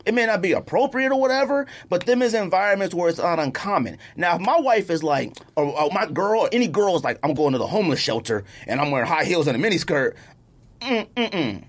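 An American man with a very lively, swooping pitch, talking like a podcast co-host debating sports with a friend. He is emphatic and slangy, circling back and repeating phrases to press his point. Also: fast. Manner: animated, emphatic, repeats himself to make a point; slangy, informal speech.

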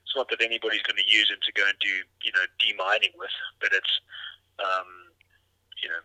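Middle-aged man from Zimbabwe, low voice with a bright edge, rambling with lots of ums, very dry close recording. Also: a little fast, no echo, low-quality recording. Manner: relaxed, rambling, many fillers and restarts. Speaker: dog trainer, guest. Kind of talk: casual interview storytelling.

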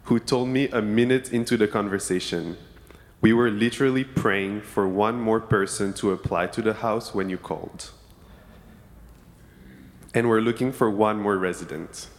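Young man, from Senegal, lowish voice, earnest testimony, church sanctuary with long reverb. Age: young adult. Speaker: student speaker in church service. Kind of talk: prepared testimony.

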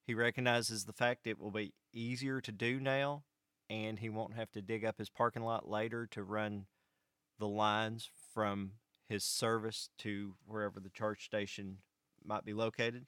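An American man telling a first-person story to an audience in measured, short phrases, as a vlog host. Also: on the low side, flat delivery, a bit bright, clean tone, dry recording, clean studio sound. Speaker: vlog host and electric cooperative employee.

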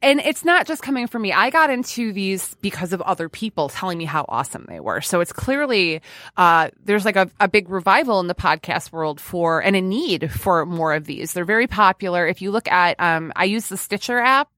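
A woman's voice: mid-range voice, lively intonation, fairly quick, fairly bright tone, no echo, clean studio sound. A woman hosting a podcast in a chatty, informal and playful way. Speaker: podcast host. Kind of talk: casual chat.

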